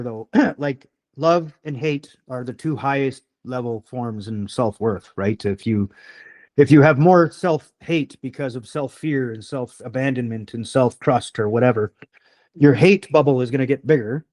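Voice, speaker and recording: A man's voice: mid-range voice, normal speed, a little mellow, no room sound. Rambling man with a slight rural twang. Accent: slight rural Canadian twang. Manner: rambling, swears often, self-deprecating. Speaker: podcast host. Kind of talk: casual conversation.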